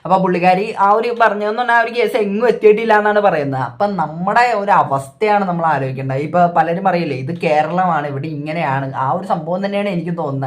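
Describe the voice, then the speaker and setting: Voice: mid-range voice, fast, few pauses. A reaction video host with a Kerala accent, giving opinionated, repetitive casual commentary.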